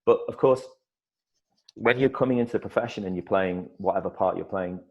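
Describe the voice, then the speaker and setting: A middle-aged man, an actor, telling stories in a casual interview, lively and full of restarts. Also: sits low, brisk, muffled recording. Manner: animated storyteller, many restarts and repeated words. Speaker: actor guest.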